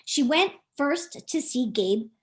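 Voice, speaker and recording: High-voiced, very animated woman reading storytime. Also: slow pace. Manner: expressive, asks the young listeners questions. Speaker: author reading her own book. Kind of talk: animated children's storytime narration.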